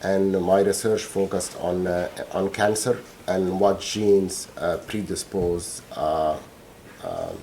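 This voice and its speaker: A middle-aged man from Egypt, gives courtroom testimony. His voice sits on the lower side and has a mellow, unforced tone. He answers haltingly and pauses to find the right words. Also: expressive, a little hiss or hum. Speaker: witness.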